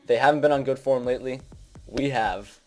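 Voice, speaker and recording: A man's voice: mid-range voice, fairly quick, darkish tone. Casual young man commentating over faint music. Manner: informal, hesitant, self-deprecating. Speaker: gaming video host. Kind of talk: casual unscripted game commentary.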